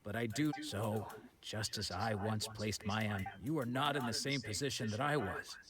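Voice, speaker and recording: An actor playing a stern, composed wandering swordsman in an anime game, blunt but caring, firm and measured. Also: fairly low pitch, a crowd in the background, close to an everyday voice. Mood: reflective. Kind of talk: anime video game voice acting.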